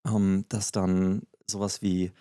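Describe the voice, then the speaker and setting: A man with a low, mellow voice talks through a topic at an easy conversational pace, hedging with frequent fillers and restarts. The recording is dry and close, with no room sound behind him. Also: flat delivery, takes it slowly, clear, resonant tone, dry recording. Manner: explanatory, many fillers and restarts. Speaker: podcast co-host. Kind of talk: casual podcast chat.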